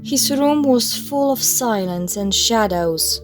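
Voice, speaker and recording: A woman reads a children's story aloud at a slow, measured pace. Her delivery is expressive and scripted, made for listeners following a picture book. Music plays clearly behind her voice. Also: a little high. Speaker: storybook reader.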